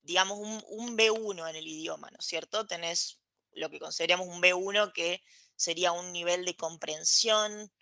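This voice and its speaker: A woman's voice: on the low side, steady tone, normal speed, vocal fry, sharp tone, no echo. A woman, explaining her experience as a podcast guest and checking in with tag questions. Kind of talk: casual conversation, explaining. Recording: dry, dull lo-fi audio.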